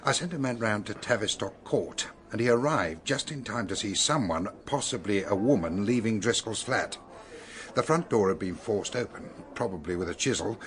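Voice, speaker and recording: A man playing a brisk, no-nonsense police detective with a British accent in a radio drama, businesslike and blunt. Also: fairly low pitch, expressive, fairly bright tone.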